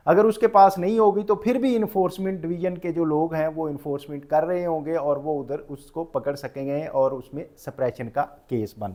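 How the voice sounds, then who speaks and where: A man's voice: a little high, a little mellow. A man with a Pakistani accent giving an informal explanatory lecture, mixing in English terms and restating his points in an instructive way. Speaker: tax professional giving a lecture.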